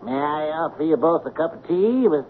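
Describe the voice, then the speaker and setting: A man's voice: on the high side, very animated, fairly quick, vocal fry. An actor playing a folksy, humble male handyman, eager and obliging, in a radio drama. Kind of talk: American radio drama character acting. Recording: tinny, noisy old radio broadcast.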